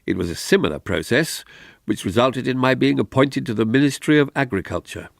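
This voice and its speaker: An actor playing a diffident, bereft older politician in a radio drama, formal, measured and wistful. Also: male voice, mid-range voice, animated, gravelly, no echo, clean studio sound. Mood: formal, self-deprecating.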